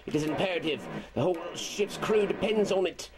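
A man playing a bossy, impatient starship commander in a homemade science-fiction audio drama, with a commanding, halting delivery. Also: high-pitched, over loud music, natural voice. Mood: urgent. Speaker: amateur audio drama performer. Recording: very noisy old home tape recording.

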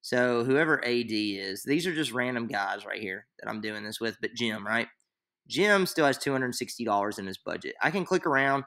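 A young man walks through a screen-share tutorial in loose, rambling talk full of fillers. His voice is bright and forward, at an ordinary middle pitch. He sits at a desk in a small room, close to his computer mic. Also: normal speed. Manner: rambling, filler-heavy, thinks aloud. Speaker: fantasy league tutorial maker.

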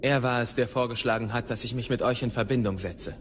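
A man plays a headstrong, frustrated young warrior in a radio drama. His restless voice is of middling pitch, with faint ambient noise behind it. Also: steady tone, quick pace, close to an everyday voice. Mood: impatient. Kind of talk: radio play acting.

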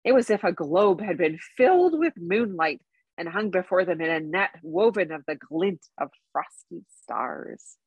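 A woman's voice: lowish voice, expressive, normal speed, slightly rough, no room sound, phone-quality sound. A middle-aged woman narrating a bedtime story, reading aloud from a book. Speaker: story reader.

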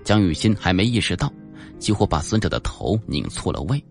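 Male audiobook narrator, deep voice, lively intonation, suspense storytelling, faint music behind. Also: fairly quick. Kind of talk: dramatic scripted suspense narration.

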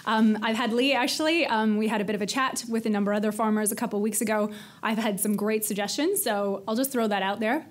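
A Canadian woman talking fast from a podium in a big conference hall. She fills her talk with ums and light self-deprecating jokes. Her delivery is quick and chatty. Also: fairly high pitch. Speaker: presenter. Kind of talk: conference presentation. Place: large hall with stage and audience.

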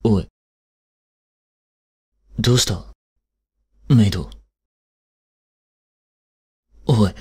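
An actor playing a cold, haughty young prince in an audio drama, with a husky, breathy voice and a curt, imperious manner. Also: male voice, lowish voice, slow pace, a little mellow, heavily acted. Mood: puzzled, irritated. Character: cold, haughty young prince scolding and fretting over his maid. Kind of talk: Japanese audio drama acting.

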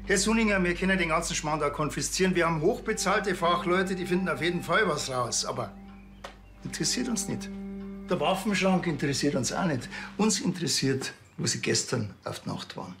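A man's voice: fairly high pitch, lively intonation, a little fast, fairly bright tone, soft background music. An actor playing a dry, blunt, impatient policeman with a Bavarian accent in a TV crime comedy.

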